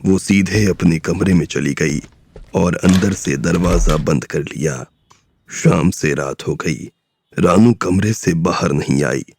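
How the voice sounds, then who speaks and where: A man narrating a crime drama audiobook in a measured, steady storytelling style. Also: sits low, vocal fry, noisy background.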